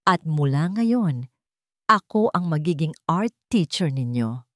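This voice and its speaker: A woman voicing a grieving, vengeful young mother who poses as a gentle teacher, in a Filipino-accented audio drama. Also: low-pitched, sing-song, slow pace, dry recording, clean studio sound, full character voice. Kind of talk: dramatic audio story acting.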